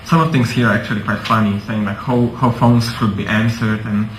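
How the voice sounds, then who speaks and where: A man's voice: fairly low pitch, fairly even intonation, brisk. A man giving informal, conversational remarks as a speaker at a conference. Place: indoor conference hall. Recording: echoey conference room, faint noise.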